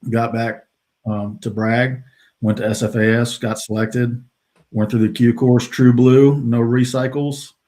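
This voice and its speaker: A middle-aged man from the United States, a retired soldier, telling his career story as a podcast guest in a relaxed way with many fillers. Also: medium pitch, measured pace. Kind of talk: casual interview talk.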